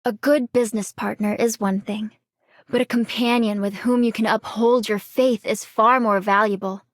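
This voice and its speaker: Actress as a solemn, higher-voiced principled young woman. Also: steady tone, no echo, studio quality, lightly acted. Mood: solemn, sincere. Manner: formal, measured, sincere. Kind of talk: video game character voice lines.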